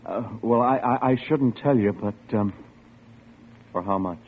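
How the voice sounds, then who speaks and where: An actor playing a hesitant, sly young elevator operator in an old radio drama. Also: male voice, on the low side. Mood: furtive, hesitant. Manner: halting, furtive. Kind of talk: 1940s-50s radio drama acting. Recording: muffled, noisy vintage radio recording.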